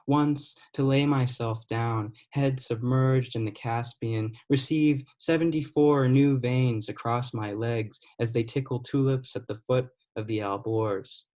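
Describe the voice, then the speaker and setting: Young guy reading poems over a video call, steady and deliberate, mid pitched, trails off quiet at line ends. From United States. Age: 20s. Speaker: poet. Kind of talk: poetry reading.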